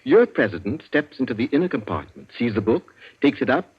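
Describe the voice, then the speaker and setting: A British actor playing an urbane, cunning captive inventor in a radio suspense drama, speaking with suave, precise politeness. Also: male voice, mid-range voice, sing-song, a little fast, slightly rough. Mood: smooth, smug. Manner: suave, precise, leisurely explaining. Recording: dull, lo-fi old radio recording.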